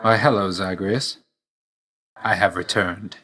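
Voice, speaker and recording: A video game god of death with a low voice and a hard, forceful edge. He is grim and reserved, and he speaks in terse, solemn phrases. A dry loyalty sits under the sternness. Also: takes it slowly, exaggerated voice. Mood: reserved, wry. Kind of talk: scripted video game voice lines.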